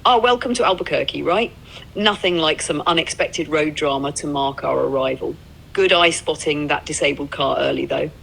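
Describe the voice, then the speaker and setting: Low-pitched, creaky-voiced encouraging woman. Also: moderate pace, a bit bright, low-quality recording. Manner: upbeat, supportive. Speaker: AI co-driver companion. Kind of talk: casual encouraging chat.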